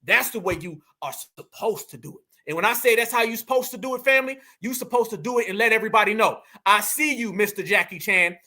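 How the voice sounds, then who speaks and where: Man ranting to his viewers, high bright voice, real emphatic. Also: quick pace, few pauses. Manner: preachy, emphatic, addresses viewers directly. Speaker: commentary show host. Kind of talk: opinion commentary.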